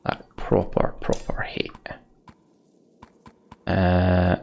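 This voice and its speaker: A man's voice: deep voice, monotone, very slow, creaky, darkish tone, whispered. A young man thinking aloud as he plays a game, muttering to himself. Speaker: let's play host. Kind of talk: gameplay commentary.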